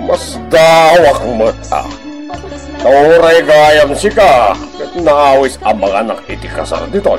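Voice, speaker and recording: An excitable, grumbling fellow in an Ilocano radio drama. He peppers his speech with exclamations, in a highish voice that leaps up and down. Music is clearly heard behind him. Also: slow, full character voice. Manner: exclaiming, full of interjections.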